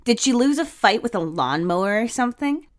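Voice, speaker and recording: A woman's voice: fairly high pitch, animated, fairly quick, voice pushed up, close to an everyday voice. A woman voicing a rude, blunt, mocking young boy in an audio story. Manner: blunt, sneering.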